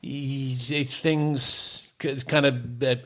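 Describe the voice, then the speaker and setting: An older American man reminiscing casually as an interview guest in a halting, thoughtful way. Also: mid-range voice, fairly even intonation, pushed and bright. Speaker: interview guest, former radio comic.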